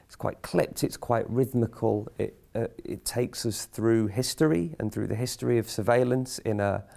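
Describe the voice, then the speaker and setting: A man from a theatre company giving a reflective, measured explanation in an interview. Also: medium pitch, creaky, dark tone.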